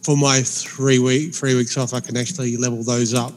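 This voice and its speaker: A man in his forties with a speech impediment, co-hosting a gaming podcast in a halting, filler-heavy way. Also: medium pitch, little pitch movement, a little mellow, long reverb tail, slight background noise. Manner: halting, many fillers and restarts. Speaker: podcast co-host. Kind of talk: casual chat.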